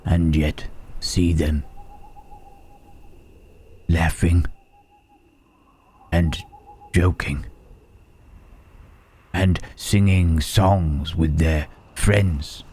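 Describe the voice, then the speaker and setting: Very deep, husky, slow monster. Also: male voice, steady tone, darkish tone, exaggerated voice. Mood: sorrowful, wondering. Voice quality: husky, breathy rasp. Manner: slow, halting, archaic formal phrasing with long pauses. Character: gentle, melancholy Frankenstein's monster, outcast male creature. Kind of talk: intimate ASMR roleplay monologue.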